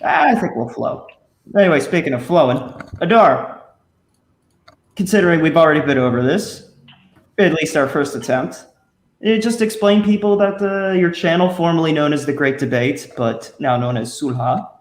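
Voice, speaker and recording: A man's voice: on the high side, expressive, normal speed. A man hosting a podcast in a casual, joking way, laughing easily between remarks. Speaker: podcast host. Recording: roomy echo.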